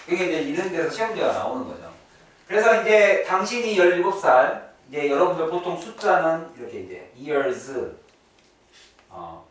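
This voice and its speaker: A man's voice: high voice, a bit bright. A man teaching an English class in a chatty way, often checking in with his students. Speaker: English teacher at a private academy. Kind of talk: classroom lesson, explaining vocabulary and grammar. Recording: echoey room, distant mic, faint noise.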